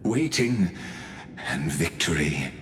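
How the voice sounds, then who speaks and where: A man's voice: low-pitched, sing-song, slow pace, background noise, exaggerated voice. A man playing a coldly patient genius villain in a video game, grandiose and menacing, with pompous, formal diction. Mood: smug, menacing. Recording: music behind the voice.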